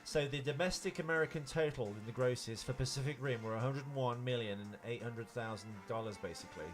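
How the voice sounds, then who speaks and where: A man's voice: mid-range voice, fairly quick, over music. A man co-hosting a casual film chat, giving opinionated explanations. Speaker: podcast co-host.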